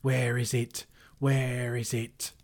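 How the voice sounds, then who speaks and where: A man's voice: mid-range voice, monotone, slow, a little mellow, pitched above the performer's own voice. A man voicing a wretched, obsessive creature from a storybook, with a hissing voice and a repetitive, muttering way of talking. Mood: anguished, wailing. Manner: muttering to itself, wailing, repeating words. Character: wretched, scheming, obsessive cave-dwelling creature, male. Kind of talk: storybook character voice in narration.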